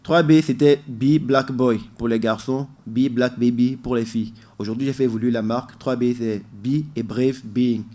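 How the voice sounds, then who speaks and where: A man's voice: mid-range voice, steady tone, moderate pace, hardly any pauses, mellow. A man being interviewed as a musician guest in a radio studio, explaining his ideas in an earnest, emphatic way.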